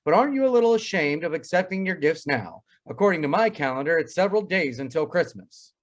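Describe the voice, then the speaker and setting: A man's voice: on the high side, expressive, fast. A man playing a wry, pompous family doctor in old-time radio comedy, wordy and drily sarcastic.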